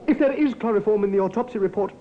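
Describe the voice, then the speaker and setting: An actor playing a frightened, meek young parson in a 1950s radio drama, anxious and stammering. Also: male voice, high voice, rapid-fire, natural voice. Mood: panicked. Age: young adult. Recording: muffled 1950s radio recording.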